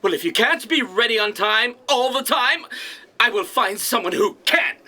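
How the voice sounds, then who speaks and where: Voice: expressive, moderate pace, pushed and bright. An actor playing a pompous, exacting alien director with a thousand legs, barking sarcastic commands in a comedic sci-fi audio drama. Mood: commanding. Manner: theatrical, imperious, sarcastic, booming commands.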